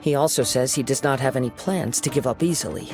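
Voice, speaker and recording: A man playing a calm, courteous young wandering swordsman in a video game, speaking in a measured, formal, composed way. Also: mid-range voice, natural voice. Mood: calm, concerned. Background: game music.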